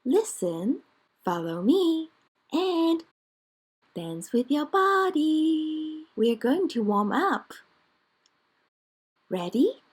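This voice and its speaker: A woman's voice: high-pitched, sing-song, very slow, pauses often. A woman working as a children's teacher, giving short, simple, encouraging instructions in a lesson for young children.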